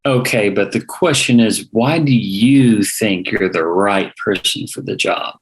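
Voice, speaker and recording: A man's voice: on the low side, a little slow, natural voice. Pushy young hipster journalist, acted by a man. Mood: pressing, skeptical. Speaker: actor in a table read. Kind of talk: conversational script reading.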